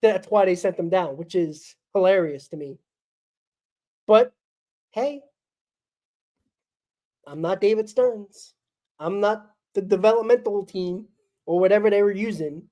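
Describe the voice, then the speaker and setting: A woman rants bluntly through a solo postgame fan show, exasperated and dismissive. She is sick, so her voice crackles and she coughs now and then. Her tone is dark and mellow. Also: medium pitch, unhurried, pauses often.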